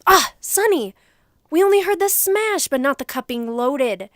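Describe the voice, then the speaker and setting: A woman playing a brisk, businesslike police investigator in an audio drama, questioning and in command. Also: high-pitched, lively intonation, no room sound, studio quality. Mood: probing.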